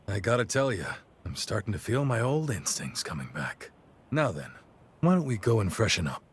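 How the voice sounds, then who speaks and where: An actor playing an easygoing middle-aged warrior captain and encouraging mentor in a video game cutscene. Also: male voice, on the low side, sing-song, normal speed, breathy edge, full character voice. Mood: relieved, upbeat.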